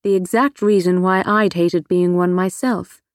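A woman's voice: fairly low pitch, fairly even intonation, measured pace, dry recording, clean studio sound. A woman reading scripted first-person fiction as an audiobook narrator. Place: close, dry studio recording.

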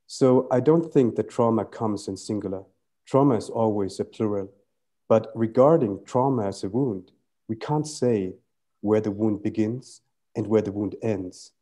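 A man in his thirties from Sri Lanka, a Tamil native speaker, speaking in measured, poetic phrases full of rhetorical questions. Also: fairly low pitch, dark tone. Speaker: poet guest. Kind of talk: reflective literary conversation.

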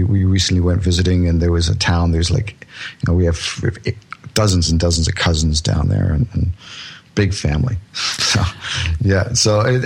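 A man's voice: sits low, gravelly, breathy edge. A man guesting on an interview show, chatting easily and laughing at his own jokes. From born in Scotland, raised in Canada. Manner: easygoing, laughs at own jokes, many restarts. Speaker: guest musician. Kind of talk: casual interview chat.

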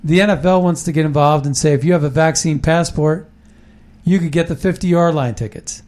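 A man's voice: on the high side, fairly quick, a little mellow. A man hosting a casual political talk show, rambling and emphatic, often restarting his sentences.

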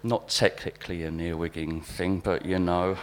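A man with a wry, deadpan manner giving a live reading of observational anecdotes at a spoken-word event. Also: low-pitched, moderate pace. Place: live literary event venue. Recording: live event recording with background hum.

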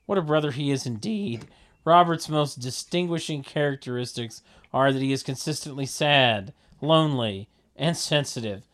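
A man's voice: medium pitch, moderate pace, fairly bright tone. A man reading stage directions as narrator at a comedy table read, in a wry, mock-formal manner.

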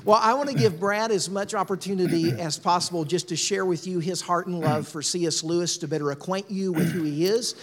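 Man, pastor, slightly high voice, earnest, ringing church hall. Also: brisk, hardly any pauses. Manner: warm, earnest, many fillers. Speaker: pastor introducing a guest speaker. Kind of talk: church introduction and spoken prayer. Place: large church room.

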